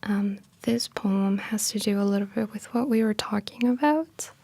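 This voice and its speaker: A woman speaking softly in a breathy half-whisper, gentle and unhurried, in an intimate ASMR style close to the microphone. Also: mid-range voice. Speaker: ASMR creator and host. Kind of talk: soft-spoken ASMR, casual explaining and poetry reading.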